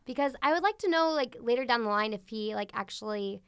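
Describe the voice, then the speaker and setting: Clear-voiced woman co-hosting a podcast. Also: a little high, animated, brisk, fairly bright tone, no echo, clean studio sound. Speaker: guest co-host. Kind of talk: casual podcast chat.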